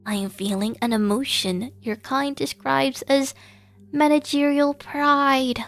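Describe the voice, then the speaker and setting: A woman's voice: high-pitched, fairly even intonation, slow pace, soft background music, pitched above the performer's own voice. A woman voicing a pompous inhuman machine boss in a game, speaking in stilted, formal, grandiose phrases. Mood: pompous, proud.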